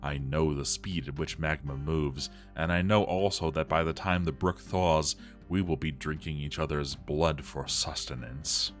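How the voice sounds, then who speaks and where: Brief: male performer as a doomed dwarf armorer, very low voice, grave and theatrical, journal drama over background music. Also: a little slow. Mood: bleak despair.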